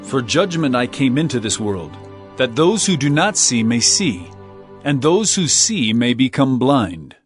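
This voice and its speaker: A man's voice: mid-range voice, very animated, unhurried, soft background music. A man acting a solemn, authoritative holy teacher in a dramatized audio Bible, speaking with deliberation.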